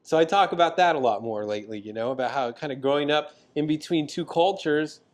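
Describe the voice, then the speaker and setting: A man's voice: a little high, a little fast. A young man, a comedian guest, chatting casually on a podcast, rambling through his stories with plenty of fillers. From San Diego, California. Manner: loose, rambling, filler-heavy storytelling.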